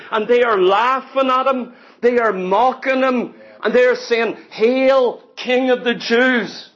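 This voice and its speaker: A man's voice: extremely high pitch, fairly bright tone. A man preaching an impassioned sermon, telling the story dramatically and pressing each point with emphatic repetition. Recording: dull, lo-fi sermon recording.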